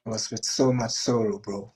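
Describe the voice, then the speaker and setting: A man's voice: lowish voice, fairly even intonation, moderate pace, gravelly, a little mellow, husky. A man in his late twenties from Ghana, a guest on a talk show, speaking casually with lots of slang and "like" fillers. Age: 20s. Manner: laid-back, slangy, many "like" and "bro" fillers. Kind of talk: casual personal story.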